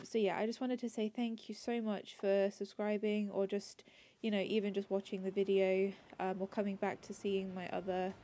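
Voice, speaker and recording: A woman hosting her gaming channel, chatting casually over gameplay with many ums and restarts, a little congested and raspy from a cold. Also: medium pitch, dark tone.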